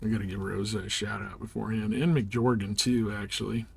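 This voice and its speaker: A man's voice: fairly low pitch, fairly even intonation, a little fast, gravelly, husky. A man livestreaming a video game with casual commentary, rambling a little as he thinks aloud. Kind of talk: casual live gameplay commentary.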